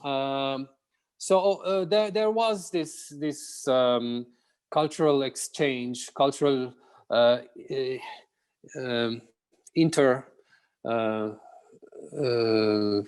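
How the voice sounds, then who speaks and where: A man's voice: mid-range voice, extremely slow, long pauses, darkish tone, smooth. A man from Morocco, a guest pianist in a casual interview, who talks hesitantly and searches for words with many fillers.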